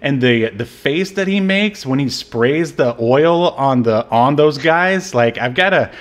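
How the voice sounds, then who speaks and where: A man hosting a podcast, chatting casually and softly about a movie, often trailing off into fillers. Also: medium pitch, expressive, a little slow. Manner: soft-spoken, trails off into fillers. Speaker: podcast host. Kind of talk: casual chat reviewing a movie.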